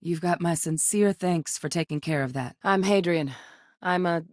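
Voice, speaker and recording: An actress playing a composed, determined female military researcher in a sci-fi video game, speaking in a measured, earnest way. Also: on the low side, no echo, studio quality, lightly acted.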